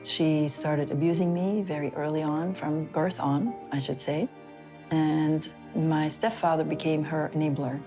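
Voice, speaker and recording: A woman, calmly giving interview testimony as a survivor. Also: low voice, unhurried, music in the background. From Belgium. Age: 50s. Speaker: trafficking survivor.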